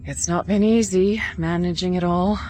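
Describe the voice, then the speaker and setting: A woman playing a gentle, wistful farm wife in a radio drama. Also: fairly low pitch, fairly even intonation, moderate pace, faint music behind, close to an everyday voice.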